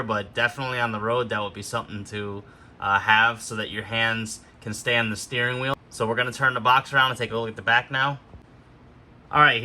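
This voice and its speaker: A man's voice: mid-range voice, pushed and bright. A man hosting an unscripted product walkthrough, explaining things step by step in an instructional way. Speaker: host of a gear demonstration video.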